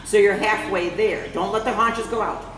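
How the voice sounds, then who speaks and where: A woman's voice: medium pitch, moderate pace, fairly bright tone, recorded from a distance. A woman coaching a horse rider live, calling out short commands and often repeating them. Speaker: horse riding instructor. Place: large indoor riding arena. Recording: very echoey, reverberant hall with faint noise.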